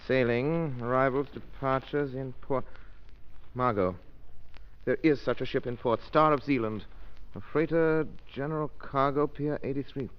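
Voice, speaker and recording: An actor playing an intense, urgent amateur sleuth with telepathic hunches in a 1930s radio drama. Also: male voice, mid-range voice, measured pace, clear, resonant tone, lightly acted. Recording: muffled, noisy 1930s radio recording.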